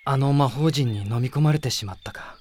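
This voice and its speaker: An actor playing an earnest, gentle young prince and army commander in a radio drama, speaking with sincere emotion. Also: male voice, mid-range voice, fairly quick, slight background noise, natural voice. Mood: troubled, reflective. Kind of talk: Japanese radio drama acting.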